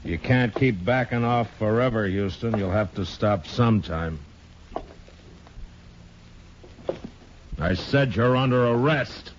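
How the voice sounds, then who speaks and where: An actor playing a terse, stern middle-aged American frontier marshal in a 1950s radio western. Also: male voice, fairly low pitch, normal speed, lightly acted. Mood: stern, menacing. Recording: muffled, noisy 1950s radio recording.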